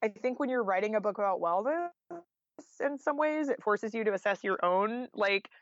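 A woman's voice: mid-range voice, expressive, normal speed, dry recording, muffled recording. An American woman talking informally and candidly as a guest author in a podcast interview, peppering her speech with "like" and "you know.".

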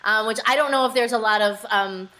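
A woman's voice: on the high side, brisk, sharp tone, rough recording. A woman actor, a guest on a podcast, chatting casually and modestly with many fillers.

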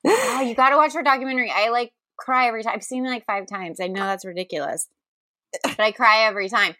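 A woman with a fairly high, very bright voice chats casually as a podcast co-host. She is enthusiastic and gushes freely, and the sound is close and dry. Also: quick pace, dry recording. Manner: gushing, enthusiastic. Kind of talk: casual chat.

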